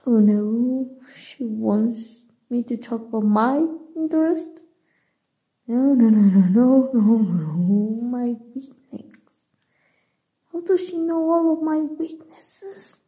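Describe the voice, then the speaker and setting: A woman from Mexico playing a shy, flustered, nerdy gamer superheroine in an ASMR roleplay, soft and halting, with stammers. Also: on the high side, full character voice, rough recording. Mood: flustered, nervous. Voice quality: breathy, soft.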